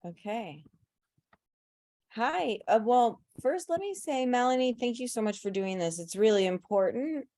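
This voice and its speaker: Slow, soft-spoken woman with a mellow voice. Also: a little high, animated, no room sound, clean studio sound. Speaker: guest, photographer's subject. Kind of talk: casual interview talk.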